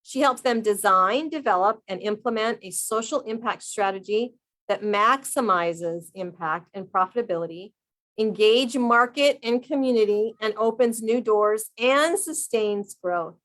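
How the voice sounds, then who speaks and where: A woman's voice: mid-range voice, unhurried, fairly bright tone. A woman hosting a radio talk show, who reads a guest introduction and then interviews in an upbeat, enthusiastic manner.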